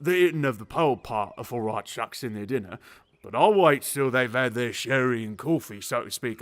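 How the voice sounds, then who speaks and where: An actor playing a grumpy but genial elderly zookeeper, telling things bluntly in rambling colloquial speech for an audio drama. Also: male voice, mid-range voice, a bit bright, lightly acted. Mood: gruff, philosophical. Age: older. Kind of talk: audio drama character acting.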